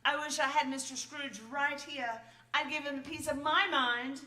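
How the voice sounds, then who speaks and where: A protective, sharp-tongued mother, played by an actress, high and bright with measured pacing. She sounds practical and theatrical, on an echoey stage in a radio play. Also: steady tone. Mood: indignant. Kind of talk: stage radio drama acting. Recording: echoey live stage recording.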